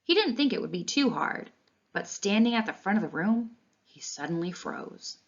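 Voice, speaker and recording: A woman reading a children's storybook aloud in an expressive, animated way. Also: medium pitch, normal speed. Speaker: read-along reader. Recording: dull, lo-fi sound.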